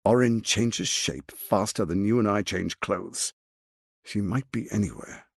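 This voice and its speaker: An actor playing a suave, ambitious, scheming lord in a video game, speaking in a theatrical, articulate and condescending way. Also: male voice, lowish voice, measured pace, creaky, no echo, studio quality. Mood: persuasive. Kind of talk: dramatic video game voice acting.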